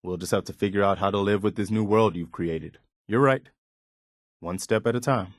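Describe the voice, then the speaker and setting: A man acts out an earnest militia officer for a video game. His voice sits fairly low and is recorded dry and close. He speaks sincerely and plainly, as a loyal, principled companion with firm morals. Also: normal speed, no echo, lightly acted. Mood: relieved, earnest.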